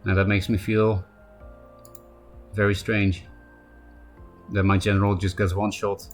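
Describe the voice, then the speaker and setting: A man's voice: on the low side, flat delivery, faint music behind. A man with a Polish accent streaming a card game live, chatting casually and answering viewers by name. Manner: chatty, answers chat by name, quick asides. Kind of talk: casual live-stream chat while playing.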